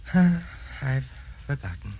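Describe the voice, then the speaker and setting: A man's voice: mid-range voice, sing-song, slow pace, creaky, slight background noise, heavily acted. An actor playing a forgetful old grandfather who dotes on his wife, testy and rambling, in a sentimental radio drama. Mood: rambling, proud. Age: older. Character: feeble, forgetful old grandfather, doting husband. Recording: muffled old radio recording with music.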